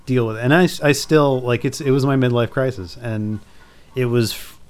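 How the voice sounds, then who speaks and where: A man in his early forties, a podcast co-host, talking in casual chat in a halting, hesitant way full of restarts. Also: medium pitch. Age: 40s.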